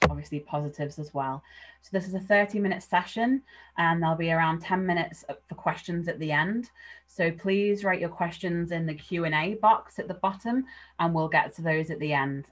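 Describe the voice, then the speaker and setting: A woman hosting an online session, introducing the speaker in a relaxed, informal way with many filler words. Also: sits low. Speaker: session host. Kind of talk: hosting a webinar.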